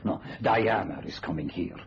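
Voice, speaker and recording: An actor playing a domineering, smug stage magician in a radio thriller, with an imperious, condescending manner. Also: male voice, lowish voice, moderate pace, gravelly. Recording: muffled radio recording, faint hiss.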